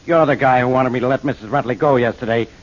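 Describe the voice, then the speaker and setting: Man playing a blustering cop, gruff, curt, rapid-fire, impatient, muffled 1940s radio drama sound. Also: medium pitch, natural voice. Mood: exasperated. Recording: muffled old radio recording.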